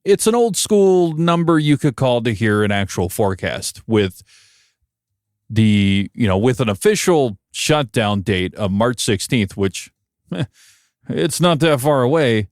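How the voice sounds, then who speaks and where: An American man giving conversational solo commentary as a podcast host, wry and emphatic, pausing for effect. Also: mid-range voice, animated, no room sound, studio quality. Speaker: podcast host and broadcast engineer.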